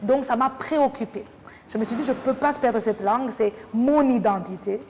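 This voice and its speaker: Woman from Rwanda with a slightly high voice, interviewee; thoughtful and halting delivery. Reverberant room, faint noise. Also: darkish tone. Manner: thoughtful, with many pauses and fillers. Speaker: interviewee, French teacher. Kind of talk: casual interview talk.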